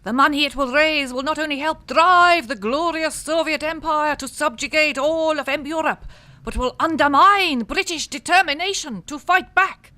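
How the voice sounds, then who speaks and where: A woman's voice: sits high, moderate pace, pushed and bright. A woman playing a scheming Soviet spy disguised as a nun, in a put-on Russian accent, giving orders in a comic radio play. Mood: rousing. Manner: conspiratorial, commanding.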